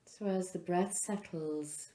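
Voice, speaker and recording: A woman leading a guided relaxation as a yoga instructor, speaking in an unhurried, soothing way with pauses between phrases. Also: lowish voice, steady tone, mellow, roomy sound.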